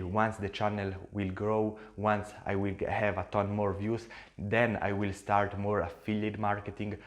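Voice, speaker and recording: A man with an Italian accent talks straight to camera in English, his second language. His voice sits fairly low. He is earnest and repeats himself, with frequent fillers and restarts. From Switzerland. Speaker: YouTuber and agency owner. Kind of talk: casual talk to camera.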